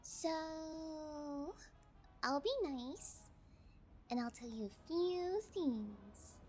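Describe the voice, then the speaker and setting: A woman's voice: high-pitched, drawn-out words, a little mellow, faint music behind. A woman playing a sadistic, playful female kidnapper in an intimate ASMR audio roleplay, teasing with a sing-song menace. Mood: playful, condescending.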